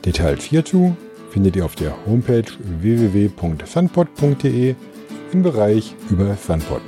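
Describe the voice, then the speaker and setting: A man hosting a podcast, asking questions at a festival and reading the show's outro in a lively, clearly articulated way. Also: lowish voice, moderate pace, faint music behind. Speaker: podcast host and interviewer. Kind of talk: interview questions and scripted outro. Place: outdoor music festival.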